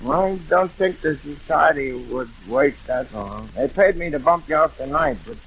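A man's voice: fairly high pitch, lively intonation, moderate pace, fairly bright tone, husky, exaggerated voice, rough recording. An actor playing a dim, polite novice hitman in a 1940s radio comedy, speaking plainly and with naive courtesy. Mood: matter-of-fact menace. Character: dim, polite novice gangster hitman who hates swing. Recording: old radio recording with music.

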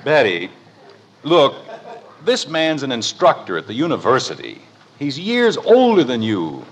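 A man's voice: medium pitch, wildly swooping pitch, unhurried. A man playing an exasperated, protective middle-aged father in a 1950s radio comedy, blustery and emphatic. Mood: indignant. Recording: noisy, crackly old radio recording.

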